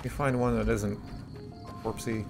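A man's voice: on the low side, fairly even intonation, unhurried. Man rambling through casual game commentary, music behind. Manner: rambling, sweary. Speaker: video game streamer.

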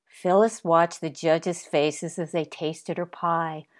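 A woman narrating a children's story in an expressive read-aloud style. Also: deep voice, slow.

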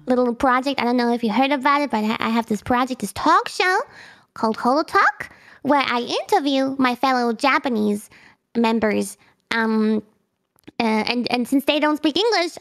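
A woman's voice: high-pitched, normal speed, fairly bright tone. A young woman whose first language is German, chatting as the guest of a convention Q&A in a bubbly way, with lots of fillers and restarts. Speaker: guest streamer. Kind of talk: convention Q&A interview.

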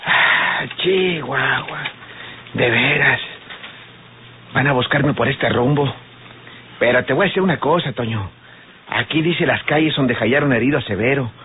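An actor playing a wounded, wheedling fugitive scoundrel with a Mexican accent, coaxing and pleading in old radio drama style. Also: male voice, medium pitch, measured pace, pauses often, slight background noise, close to an everyday voice. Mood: weak, doubtful. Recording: muffled, phone-like old radio recording.

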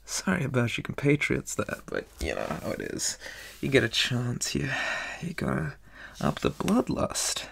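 A man playing a deranged, taunting monster boy inmate in an ASMR horror roleplay, with a breathy, whispery rasp and a slow, teasing drawl. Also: mid-range voice, huge pitch swings, fairly bright tone, full character voice. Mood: taunting, menacing.

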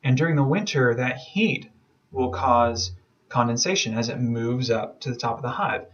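Male instructor, medium pitch, casual and keen, explaining in an informal lecture style. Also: expressive, moderate pace, fairly bright tone. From southern United States. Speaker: beekeeping instructor. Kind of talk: informal lecture over slides.

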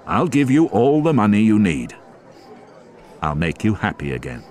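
A man voicing a kind male town mayor in an audiobook, speaking in a soft, firm voice. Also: lowish voice, wildly swooping pitch, normal speed, some chatter behind. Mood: gentle.

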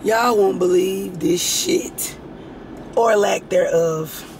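A hoarse young woman with a raspy voice, chatting frankly and rambling to her vlog audience. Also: medium pitch, slow, over music. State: hoarse, itchy throat. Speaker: lifestyle vlogger. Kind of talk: casual chat to camera.

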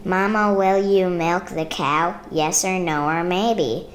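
Slow, low-pitched woman reading a picture book. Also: steady tone, slight background noise. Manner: measured, rhythmic reading of rhymes. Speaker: storybook reader. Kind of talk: children's picture book read-aloud.

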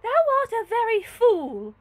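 Woman playing a high-voiced, lofty young princess. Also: big pitch swings, unhurried, exaggerated voice. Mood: commanding. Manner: imperious, formal, archaic diction. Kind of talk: gothic novel read-aloud.

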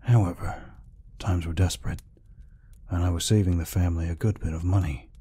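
A man voicing a gentle, peaceful orc healer in an ASMR roleplay, speaking softly and reassuringly without hurry. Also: fairly even intonation. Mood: reflective, calm. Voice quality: deep, husky, breathy. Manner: soft, unhurried, reassuring. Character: gentle, peaceful male orc healer, soft-hearted caretaker.

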